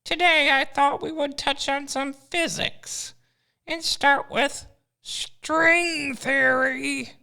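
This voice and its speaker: This is a pompous villain eagle who lectures and crows, voiced by a woman. The voice sits high and grinds with gravel. It is piercingly bright, and the delivery is unhurried. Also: fairly even intonation, exaggerated voice. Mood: smug. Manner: pompous, crowing. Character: scheming male eagle professor villain.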